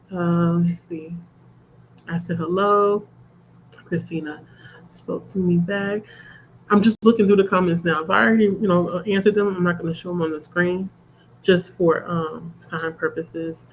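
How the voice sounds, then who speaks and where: A woman's voice: fairly low pitch, moderate pace, muffled recording, a little hiss or hum. A congested woman chatting casually as host of a reading livestream and laughing at her own jokes. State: congested from a cold. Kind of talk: casual chat. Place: at home.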